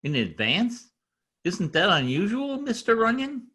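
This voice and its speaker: A querulous husband played by a man in a radio mystery, always incredulous and suspicious. His voice is high and bright, and it swings widely in pitch as he objects. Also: very animated, measured pace, husky, exaggerated voice. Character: indignant, suspicious, fussy husband Oscar. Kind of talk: old-time radio drama acting.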